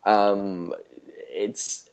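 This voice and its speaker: A man with a fairly low voice chats casually as a podcast co-host. He speaks haltingly, often rewording his thoughts as he goes along. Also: flat delivery, smooth. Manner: hesitant, halting, rephrasing as he goes. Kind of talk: casual podcast discussion of a TV show.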